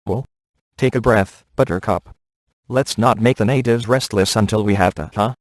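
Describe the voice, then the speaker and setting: A man's voice: on the low side, moderate pace, no room sound, close to an everyday voice. A man voicing a cocky, wisecracking, flirtatious middle-aged ghost hunter in a video game, with dry, sarcastic delivery. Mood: wry. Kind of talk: video game voice acting, one-liners.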